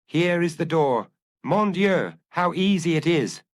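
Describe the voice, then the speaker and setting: A man voicing a suave, self-assured gentleman burglar musing to himself, urbane and drily amused, in a storybook reading. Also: fairly high pitch, lively intonation, unhurried, voice pushed up. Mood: brisk, pleased.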